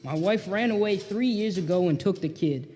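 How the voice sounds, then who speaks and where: A man's voice: high-pitched, normal speed, mellow, natural voice. A man in a live radio play acting a suspicious, slangy, brusque working-class cab driver. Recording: live hall, long reverb.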